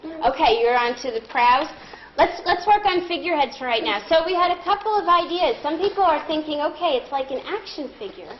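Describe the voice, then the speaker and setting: A woman speaks in a high, very animated voice, guiding pupils through a reading lesson. She is encouraging and instructive, prompting them with questions. The sound is echoey and somewhat dull, as in a classroom. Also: fairly quick, a little hiss or hum. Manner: encouraging, instructive, thinking aloud. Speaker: elementary school teacher. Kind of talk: interactive read-aloud lesson, questioning pupils.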